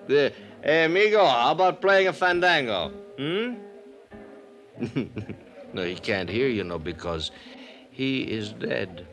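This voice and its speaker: An actor playing a tipsy, world-weary middle-aged radio producer who rambles theatrically and laughs in a 1950s radio drama. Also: male voice, mid-range voice, lively intonation, slow pace, pauses often, a bit bright, soft background music. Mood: rambling.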